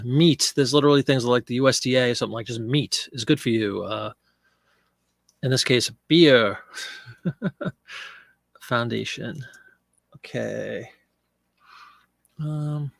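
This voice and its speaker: Brief: middle-aged male host with a cold, gravelly and congested, rambling live-stream chat, dry close sound. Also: medium pitch, fairly bright tone, no room sound. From Pennsylvania. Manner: chatty, rambling, answers viewer chat. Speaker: live-stream eBay seller.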